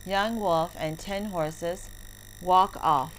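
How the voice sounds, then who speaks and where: A woman reading a children's play script aloud as narrator, deliberately and word by word. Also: fairly low pitch. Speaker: story narrator for children's English lessons. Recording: clearly noisy recording with clicks.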